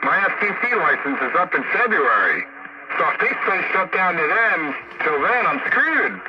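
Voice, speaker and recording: A man's voice: high voice, big pitch swings, moderate pace. Colloquial man on a noisy muffled radio. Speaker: licensed radio operator. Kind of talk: casual two-way radio chat. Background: heavy radio static.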